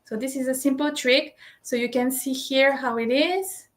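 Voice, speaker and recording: High-voiced woman in a roomy space. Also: fairly even intonation, moderate pace. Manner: explanatory, checks in with frequent "right?" and fillers. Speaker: shopping service presenter. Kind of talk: step-by-step screen tutorial. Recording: roomy echo.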